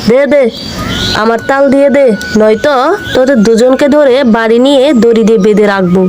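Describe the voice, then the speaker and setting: A woman's voice: on the high side, normal speed, lightly acted. A woman voicing a greedy, haughty village headman's wife who scolds and makes demands in a Bengali cartoon. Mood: scolding, demanding. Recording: music behind the voice.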